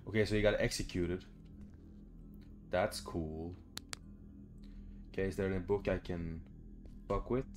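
A man's voice: sits low, fairly even intonation, a little slow, pauses often, faint music behind. A young man doing casual horror game commentary, muttering to himself in short phrases. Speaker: gamer, let's play host. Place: small room at home.

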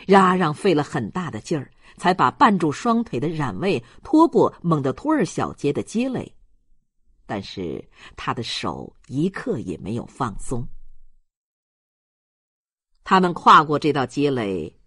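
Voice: medium pitch, a bit bright, husky, no echo, studio quality. A narrator reading literary fiction aloud in a dramatic storytelling manner. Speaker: audiobook narrator.

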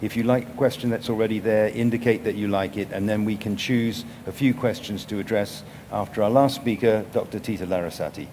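An older man moderating a conference panel, giving brisk directions to the audience. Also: fairly low pitch, a little mellow, a little hiss or hum. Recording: reverberant hall, faint ambience.

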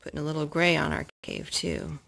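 A woman's voice: low-pitched, little pitch movement, a little fast, creaky, a bit bright, a little hiss or hum. A woman chatting casually with small children and narrating a craft in an encouraging, sing-song way, recorded on a handheld phone at home. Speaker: parent hosting a children's craft video. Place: indoors at home, wood-floored living room. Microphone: handheld phone filming.

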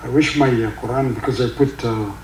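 An older man with a heavy Egyptian accent, a native Arabic speaker, giving an informal religious talk in halting English with many fillers and recited Arabic verses. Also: medium pitch, fairly even intonation, roomy sound, background noise. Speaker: religious teacher. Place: indoor room with carpeted seating.

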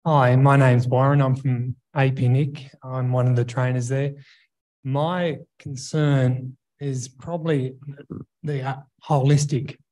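A man's voice: mid-range voice, flat delivery, slow, darkish tone, poor audio. A man who works as a trainer, explaining his view informally at a roundtable.

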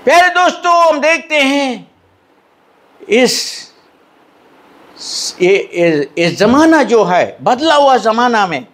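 High-voiced older preacher with a Fiji Hindi accent. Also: male voice, big pitch swings, a bit bright. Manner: asks hearers for assent, reads English lines slowly; lifts an arm to gesture while preaching. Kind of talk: sermon. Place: small church hall with curtains, indoors. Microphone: handheld mic at a pulpit.

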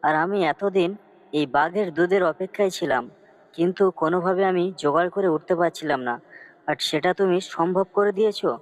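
A man's voice: sits high, fairly quick, no echo, natural voice, low-quality recording. A man playing a humble woodcutter father in a cartoon story, earnest and plaintive. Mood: amazed, grateful.

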